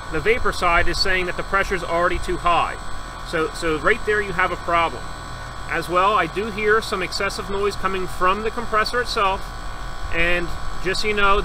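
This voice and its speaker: A man's voice: sits high, background noise. A man working as an HVAC service technician, methodically explaining each reading step by step in a hands-on troubleshooting tutorial, recorded outdoors beside an AC condenser.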